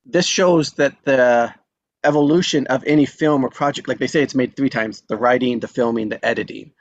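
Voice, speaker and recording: A man's voice: mid-range voice, a little fast, no room sound, highs cut off. A man hosting a casual online chat after a table read, talking in a chatty, self-deprecating way. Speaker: host.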